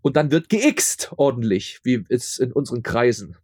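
A man's voice: mid-range voice, animated, moderate pace, a little mellow, husky, no echo, studio quality. A man being interviewed as a radio play maker, chatting casually and often repeating words for emphasis.

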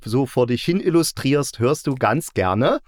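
A man's voice: medium pitch, lively intonation, unhurried, no room sound. A middle-aged man from East Germany hosting a casual podcast conversation, often circling back to clarify what he means. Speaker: podcast host.

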